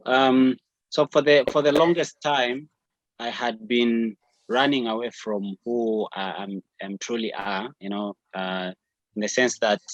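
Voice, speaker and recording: A man's voice: medium pitch, no echo. Reflective man on a lo-fi call. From Zambia. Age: 30s. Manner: reflective, frequent "you know" fillers. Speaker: musician guest. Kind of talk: remote interview conversation. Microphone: video or phone call.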